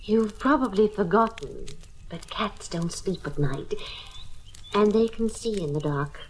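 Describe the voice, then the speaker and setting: A woman's voice: mid-range voice, a little slow, natural voice. An actress playing a hard-edged, reluctant woman accomplice in an old radio mystery, terse and dryly sceptical. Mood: wary, dry. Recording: noisy old radio recording.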